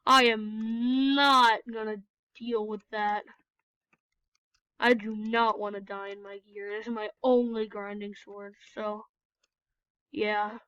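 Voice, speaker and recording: A woman's voice: medium pitch, level tone, takes it slowly, long pauses, a bit bright, smooth, dry recording. A woman with a cold, nasal and congested, rambling casually as she comments on her own gameplay for a video. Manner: chatty, rambling, apologetic. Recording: dull, lo-fi, dry recording.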